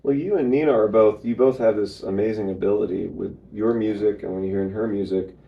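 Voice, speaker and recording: A man's voice: on the low side, brisk, creaky, muffled recording, a little hiss or hum. A man interviewing a musician, affirming his answers and asking follow-up questions. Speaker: interviewer and pianist. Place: indoor room at music headquarters. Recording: roomy room.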